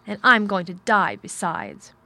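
A woman's voice: mid-range voice, sing-song, measured pace, creaky, pushed and bright, no room sound, full character voice. A woman voicing a spoiled, imperious, self-pitying sickly boy in an audiobook, with petulant, sneering speech. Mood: indignant, self-pitying. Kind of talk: audiobook character dialogue.

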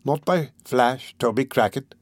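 A man voicing a surly, short-tempered burglar in an audiobook, blunt and scornful, with rough slang. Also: mid-range voice, moderate pace, no echo, clean studio sound, natural voice. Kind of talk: audiobook character voice.

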